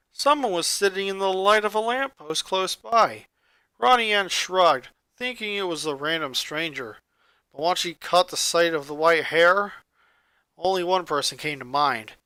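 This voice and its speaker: A man reading a story aloud as scripted narration, with dramatic, expressive delivery. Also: high voice, smooth, no echo. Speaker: narrator, fan fiction reader.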